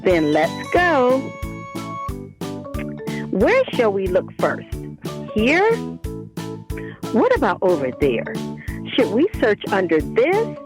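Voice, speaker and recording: A woman's voice: mid-range voice, huge pitch swings, slow, pauses often, music in the background. A woman voicing a curious, adventurous young girl in a children's storybook, speaking expressively and directly to young listeners. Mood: eager, curious. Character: curious, adventurous young girl narrating her mindfulness quest. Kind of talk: children's storybook read-aloud.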